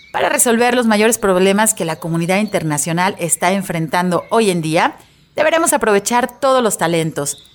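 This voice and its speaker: Woman reading a radio script. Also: mid-range voice. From Mexico. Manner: steady, informative reading from a script. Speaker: radio show host.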